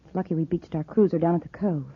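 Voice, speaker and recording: An actress playing a cold, commanding, scornful criminal boss in a 1950s radio serial. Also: female voice, fairly low pitch, fairly even intonation, quick pace, no echo. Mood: wary, commanding. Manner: commanding, crisp orders, scornful. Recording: muffled old 1950s radio recording.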